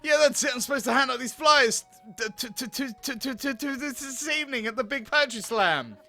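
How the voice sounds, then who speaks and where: A man's voice: very high-pitched, lively intonation, moderate pace, bright, forceful tone, soft background music, full character voice. A man voicing a polite, awkward male coworker in a dating sim game, earnest and a little hesitant. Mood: friendly, awkward.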